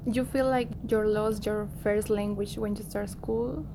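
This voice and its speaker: A woman's voice: medium pitch, steady tone, a little slow, vocal fry, noisy background. A woman interviewing, asking brief and straightforward questions.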